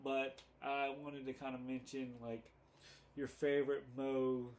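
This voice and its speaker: A man hosting a casual, unscripted fan commentary video, halting and rambling as he goes, often correcting himself. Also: mid-range voice, flat delivery. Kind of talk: casual unscripted chat to camera. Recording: noticeable background noise.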